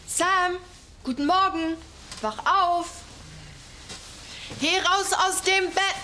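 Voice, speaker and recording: An actress playing a bubbly, bossy young woman, bright and pushy. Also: very high voice, fairly even intonation, extremely slow, background noise, full character voice. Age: young adult. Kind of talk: sitcom acting.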